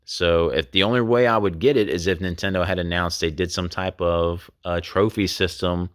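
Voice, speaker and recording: A deep-voiced man rambles through a casual video talk about games, full of fillers and loose asides. His voice is a bit worn, as he is losing it. The sound is dry and close, with no room around him. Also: fairly even intonation, normal speed, clean tone, dry recording. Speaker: game collecting channel host.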